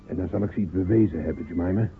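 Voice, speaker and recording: Male actor as a small, stubborn fisherman; earnest, defiant; husky tone, thin lo-fi radio sound. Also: sits low, very fast, full character voice. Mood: determined. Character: proud small fisherman John, stubborn, wants respect. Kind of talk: radio play acting. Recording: narrow phone-like old radio recording.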